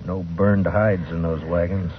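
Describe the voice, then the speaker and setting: An actor playing a stern, watchful western marshal in a 1950s radio drama, terse, dry and authoritative. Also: male voice, sits low, steady tone, measured pace, husky. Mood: grim, deductive. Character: stern, watchful frontier marshal, laconic and commanding. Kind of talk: 1950s radio western acting and first-person narration. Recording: tinny band-limited vintage radio, music behind.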